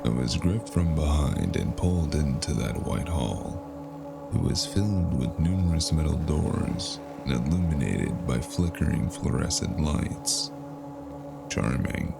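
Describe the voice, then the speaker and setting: An actor playing a grim, weary cyberpunk man who narrates his story in clipped, brooding noir phrases with a gravelly voice. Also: very deep voice, animated, a little slow, heavily acted. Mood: brooding dread. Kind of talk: scripted first-person noir narration. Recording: loud music under the voice. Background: music.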